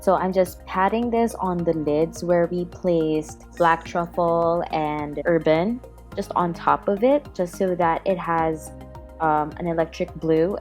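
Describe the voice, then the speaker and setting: A woman's voice: on the low side, measured pace, darkish tone. A woman giving a casual makeup tutorial voice-over in a chatty, self-deprecating way. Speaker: beauty vlogger. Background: background music.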